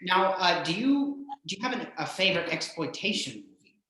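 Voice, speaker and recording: A man hosting a casual interview, agreeable and halting, often repeating words as he goes. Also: high-pitched, animated, pushed and bright. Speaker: host, interviewer. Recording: very echoey, reverberant room.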